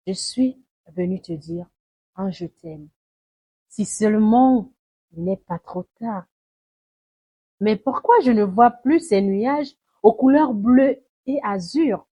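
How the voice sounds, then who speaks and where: A woman recites a poem dramatically, in a solemn, mournful and deliberate way, swelling and hushing her phrases. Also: a little high, breathy edge. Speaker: poetry reciter. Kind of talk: dramatic spoken-word poetry recitation.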